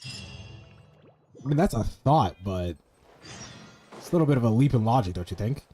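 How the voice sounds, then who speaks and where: Gamer guy chatting over gameplay, relaxed warm voice, sweary, thinks out loud, bit of music. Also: mid-range voice, a little slow. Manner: rambling, thinking aloud, sweary. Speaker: streamer playing a video game. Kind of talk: casual gameplay commentary.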